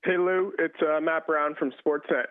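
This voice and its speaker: A man's voice: on the high side, fairly even intonation, normal speed, no room sound. A man, a sports reporter, putting questions on a press conference call over the telephone. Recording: dry, narrow phone line.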